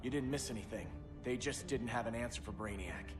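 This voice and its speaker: A man's voice: medium pitch, brisk, lightly acted. Echoey young male sidekick, acted by a man. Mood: matter-of-fact. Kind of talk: cinematic video game acting. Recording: echoey, loud music behind.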